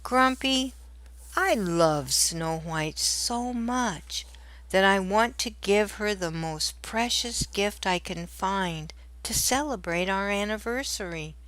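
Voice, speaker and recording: A polite young storybook prince, earnest and in love, read by a woman. The words come very slowly and with courtly care, in a dry, close sound. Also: mid-range voice, animated, fairly bright tone, dry recording, rough recording. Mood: earnest, loving.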